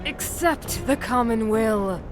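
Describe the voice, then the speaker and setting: A woman's voice: on the high side, takes it slowly. An actress playing a fanatical, imposing female ruler in a video game, speaking in grandiose, theatrical speeches. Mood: commanding, persuasive. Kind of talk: dramatic video game voice acting. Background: music.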